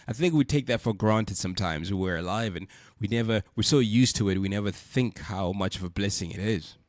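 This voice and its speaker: Congested male podcast host, lower voice, earnest and preachy, dry booth sound. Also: a little fast, no echo. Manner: earnest, preachy, repeats rhetorical questions. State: congested from a cold. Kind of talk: reflective spoken monologue.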